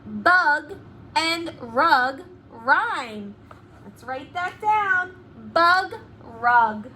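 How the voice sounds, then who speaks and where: A woman preschool teacher giving an animated read-aloud lesson to young children, enunciating words deliberately and asking them questions. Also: sits high, slight background noise.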